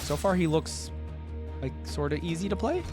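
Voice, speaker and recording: A man's voice: fairly high pitch, big pitch swings, moderate pace. A man hosting a reaction video, thinking aloud in an informal, exclamatory way as he comments. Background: game trailer and theme music.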